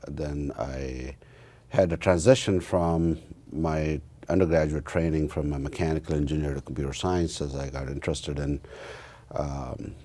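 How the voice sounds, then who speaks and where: A man in his fifties with an Indian accent, giving reflective interview answers in a halting way with many pauses. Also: a bass voice, mellow. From northern India. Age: 50s. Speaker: interview guest, university research executive and computer scientist.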